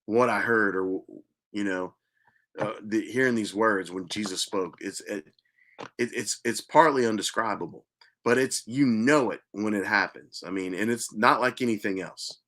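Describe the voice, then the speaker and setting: A man earnestly telling a personal spiritual story as host, repeating himself for emphasis and sometimes dropping to a hushed voice. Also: on the low side. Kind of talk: personal testimony monologue.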